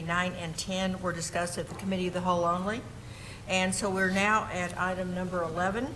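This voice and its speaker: A woman chairing a board meeting, running through the agenda formally; she is losing her voice and keeps clearing her throat, with many ums and small self-corrections. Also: mid-range voice, a bit bright, slight background noise, poor audio. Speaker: board chair presiding over a public meeting. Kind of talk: formal meeting procedure, reading agenda and script.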